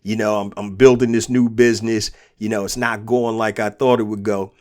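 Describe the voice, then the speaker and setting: A man's voice: fairly low pitch, flat delivery, normal speed, darkish tone. A man with a cold, his nose stuffed and throat sore, hosting a motivational business podcast. He talks earnestly and repeats his key points for emphasis. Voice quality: congested. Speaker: podcast host and business coach.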